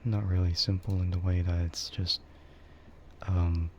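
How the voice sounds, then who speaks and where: A man streaming in a casual, rambling ASMR chat, speaking softly and hesitantly in a meandering way. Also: deep voice, little pitch movement, unhurried. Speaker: VTuber streamer. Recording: dull lo-fi microphone with faint noise.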